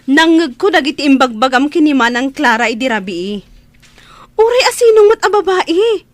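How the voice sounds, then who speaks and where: A woman's voice: sits high, animated, moderate pace, no echo. A woman acting an indignant, outspoken younger sister who scolds insistently in an Ilocano radio drama.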